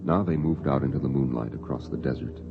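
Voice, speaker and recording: Very deep, solemn storyteller. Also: male voice, vocal fry. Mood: solemn, lyrical. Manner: measured, solemn, atmospheric. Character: solemn, poetic male storyteller of a science fiction tale. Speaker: narrator. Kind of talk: scripted 1950s radio drama narration. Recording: dull 1950s radio recording. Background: dramatic music.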